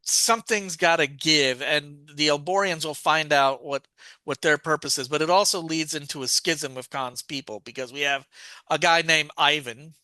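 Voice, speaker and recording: A man with a very bright, forceful voice that stays crisp and resonant, talking casually as a podcast co-host. He walks through a story's plot step by step, correcting himself as he remembers details. The sound is close and dry. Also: a little high, moderate pace, dry recording. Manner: recaps plot at length, thinking aloud with restarts.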